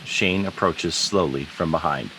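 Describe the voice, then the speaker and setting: Deep-voiced man narrating stage directions. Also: measured pace, pushed and bright, slight background noise, rough recording. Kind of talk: scripted narration for an online comic table read. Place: video call. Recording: online video call.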